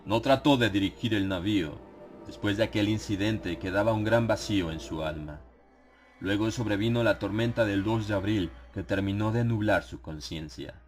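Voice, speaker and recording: A man narrating a dramatized horror audiobook in a grave, theatrical manner with dramatic pauses. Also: lowish voice. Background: background music.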